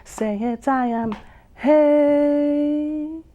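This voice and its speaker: A woman poet delivering spoken-word verse very slowly, stretching phrases and humming between lines. Her voice sits high. The recording sounds dull and lo-fi. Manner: slow, drawn-out delivery with long pauses and hums. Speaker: poet and vocalist guest. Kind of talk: slow spoken-word poetry with sung lines.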